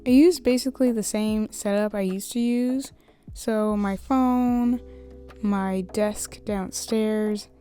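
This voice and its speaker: A young woman with a slightly hoarse voice, chatting casually in a voice-over about her art. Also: medium pitch, dark tone. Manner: chatty, rambling, laughs often. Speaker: art YouTuber. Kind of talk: casual voice-over while drawing. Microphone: USB studio microphone.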